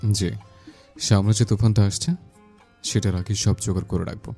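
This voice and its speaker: Young romantic lead, played by a man: deep, warm, dark voice, respectful and composed, earnest, music underneath. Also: fairly even intonation, normal speed, vocal fry. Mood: earnest, resolute. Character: polite, earnest young landlord's son, educated. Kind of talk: dramatized romantic audio story.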